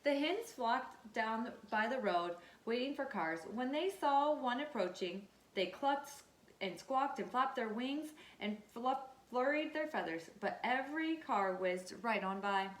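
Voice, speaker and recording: A woman's voice: on the high side, lively intonation, unhurried. A woman teacher reading a children's storybook aloud and checking in with her listeners in an instructive way. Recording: echoey room.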